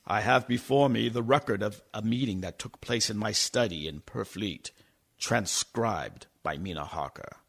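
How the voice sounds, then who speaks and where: An actor playing an earnest gentleman doctor who narrates a radio play in a formal, deliberate, theatrical manner. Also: fairly low pitch, vocal fry. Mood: grave, formal. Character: earnest gentleman doctor recounting his personal papers. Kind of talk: dramatic scripted radio narration.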